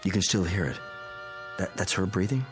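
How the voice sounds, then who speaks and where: An actor playing a calm, methodical physicist in a radio drama, measured and giving instructions. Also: male voice, deep voice, faint music behind, lightly acted. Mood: focused, careful. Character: calm, methodical male physicist friend investigating a mystery.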